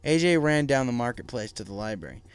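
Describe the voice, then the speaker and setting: A man's voice: mid-range voice, lively intonation, quick pace, clean tone, no room sound. A man reading a fan fiction story aloud as narrator, in a scripted, dramatic storytelling style.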